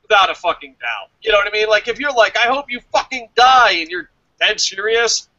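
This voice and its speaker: Man, very lively intonation, bright forceful voice, sweary casual review talk, emphatic, rambling, muffled lo-fi audio. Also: high-pitched, a little fast. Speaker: wrestling review show host. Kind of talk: casual opinionated chat.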